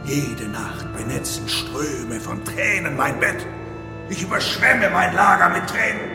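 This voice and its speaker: An actor playing a fanatical, menacing cult preacher in a radio drama, declaiming theatrically with drawn-out solemnity. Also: male voice, a little high, animated, gravelly. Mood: anguished lament. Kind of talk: German radio drama sermon and chanted prayer. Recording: echoey church-like hall, music behind.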